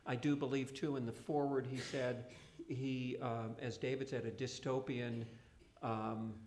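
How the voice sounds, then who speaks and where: A man informally explaining literary background at a live question session, measured and a little hesitant, in a roomy space. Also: medium pitch, level tone, a little mellow. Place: live event room with audience. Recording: roomy live event sound.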